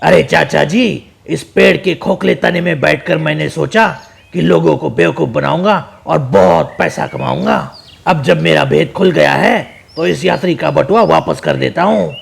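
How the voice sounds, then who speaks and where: A man voicing a sheepish, pleading trickster with a gravelly, husky voice. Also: on the high side, normal speed, heavily acted.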